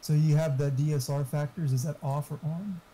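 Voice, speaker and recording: A man's voice: a little high, steady tone, fairly quick, warm tone, low-quality recording. A man chatting casually, explaining tech settings in an informal, mildly profane way. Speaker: friend giving tech advice. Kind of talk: casual chat.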